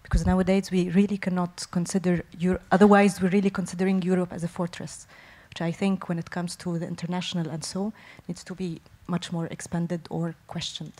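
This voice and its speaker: A woman in the audience asking a thoughtful, deliberately provocative question at a conference. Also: lowish voice, flat delivery, darkish tone.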